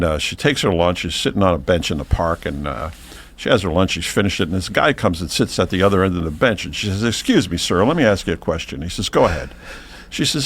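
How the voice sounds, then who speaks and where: Low-voiced man spinning a rambling joke. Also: breathy edge. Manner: rambling storyteller, halting with many uhs, then building to punchlines. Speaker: podcast co-host. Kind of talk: telling a long joke in casual chat. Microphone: podcast mic, audio only.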